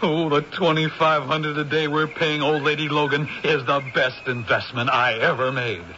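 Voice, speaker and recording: An actor in a vintage radio drama playing an eager, money-minded film director who talks in an excitable, halting way. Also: male voice, a little high, close to an everyday voice. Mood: elated. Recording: dull, slightly noisy old radio recording.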